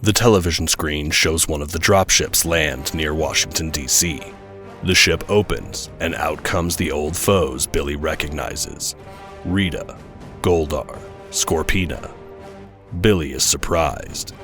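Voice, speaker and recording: A man's voice: rumbling low, unhurried, a bit bright. A man narrating an audio drama in a scripted storytelling style, with dramatic music behind him.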